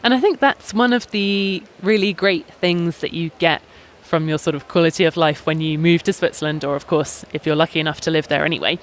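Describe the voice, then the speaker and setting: A British man hosting a podcast outdoors, talking in a measured, articulate way with small hesitations. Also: a little high, a bit bright. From Britain. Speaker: podcast host and journalist. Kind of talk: casual podcast talk. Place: outdoors in a busy town square.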